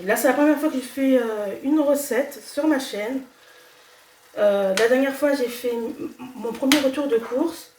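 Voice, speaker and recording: A woman's voice: a little high, a little mellow, slight background noise. A young woman with a slight cold talking casually through a home cooking video, hesitant and full of fillers and restarts. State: has a cold. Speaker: cooking vlogger. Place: home kitchen. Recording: echoey kitchen, voice from far off. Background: sizzling pan and stirring.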